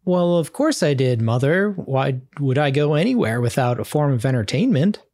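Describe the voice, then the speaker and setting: A woman playing a reluctant, bookish young boy with a deadpan, formal manner in a comedy table read. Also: rumbling low, normal speed, no room sound. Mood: dry, reluctant.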